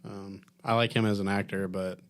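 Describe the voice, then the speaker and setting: Skeptical man with a lowish voice. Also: little pitch movement, normal speed. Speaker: podcast co-host. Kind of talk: casual group chat.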